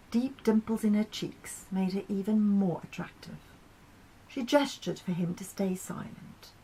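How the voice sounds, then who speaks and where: A woman reading a short story as its author, in expressive, scripted narration with dramatic, deliberate phrasing. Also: medium pitch, husky, poor audio.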